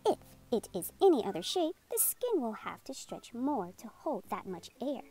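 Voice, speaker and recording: A woman's voice: a little high, sing-song, normal speed, dark tone. A woman voicing a knowledgeable adult woman neighbour who explains things emphatically in a children's storybook. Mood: explaining.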